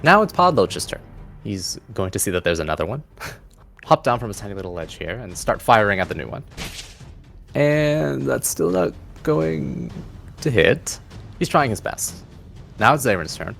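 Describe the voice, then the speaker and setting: A man with a very low, creaky voice serves as game master, narrating a tabletop game. Faint music plays underneath. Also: sing-song, normal speed, fairly bright tone.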